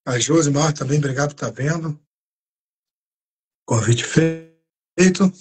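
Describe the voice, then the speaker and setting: A man's voice: fairly high pitch, slow, darkish tone, breathy edge. A Brazilian man hosting a live interview stream, chatting casually, thanking viewers by name and often restarting his sentences. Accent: Brazilian Portuguese. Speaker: channel host.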